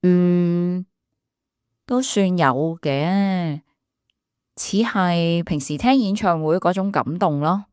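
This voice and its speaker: An actress with a Hong Kong accent playing a friendly, polite young woman in calm, casual radio drama dialogue sprinkled with English words. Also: on the low side, slow, clear, resonant tone, dry recording, studio quality. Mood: tired, polite.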